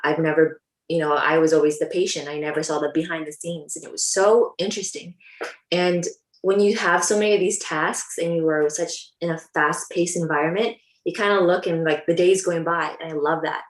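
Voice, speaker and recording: Young Canadian woman, upbeat casual guest; slightly low voice, roomy echo. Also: steady tone, a little fast. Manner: chatty, upbeat, many ums. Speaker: dental hygienist guest. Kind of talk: casual talk to a live audience. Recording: echoey room.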